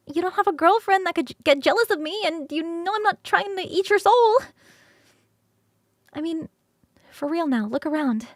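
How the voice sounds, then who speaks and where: High-voiced, flirty succubus roommate, acted by a woman. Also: dry recording, clean studio sound. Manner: bubbly, rambling, easily sidetracked, teasing. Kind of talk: ASMR roleplay acting.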